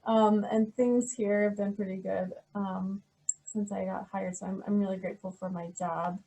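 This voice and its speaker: A middle-aged woman, a history professor, answering interview questions in a soft, hesitant way with many pauses and restarts. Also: medium pitch, little pitch movement, creaky, breathy edge, poor audio.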